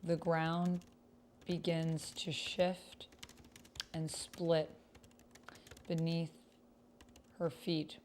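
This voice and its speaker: A woman's voice: deep voice, flat delivery, very slow, long pauses, darkish tone, a little hiss or hum. A woman streamer chatting casually and thinking aloud as she plays and reads out a text-based roleplaying game. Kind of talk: casual livestream chat with typed roleplay read aloud.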